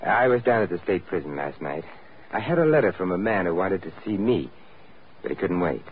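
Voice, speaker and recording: An actor playing a suave, wry gentleman adventurer with dry, urbane delivery in an old-time radio drama. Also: low-pitched, big pitch swings, brisk, slight background noise. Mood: courteous. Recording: tinny vintage radio recording, faint music.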